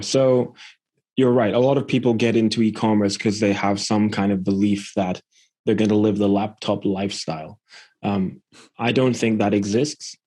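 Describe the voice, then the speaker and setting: This is a man from Scotland with a fairly low voice, speaking as a guest on a podcast. He explains things conversationally and in a plain-spoken manner. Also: a little mellow. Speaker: podcast guest, e-commerce entrepreneur. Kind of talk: conversational interview storytelling.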